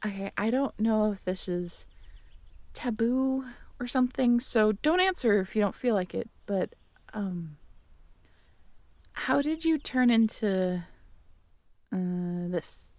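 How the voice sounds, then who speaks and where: A young woman in an ASMR audio roleplay, talking to the listener as a girlfriend, playful and teasing one moment and soft and caring the next. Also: mid-range voice, animated, slow, long pauses, no room sound.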